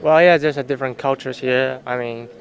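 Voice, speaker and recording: Teenage exchange student, Chinese native. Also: male voice, medium pitch, fairly even intonation, a little fast, gravelly. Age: teens.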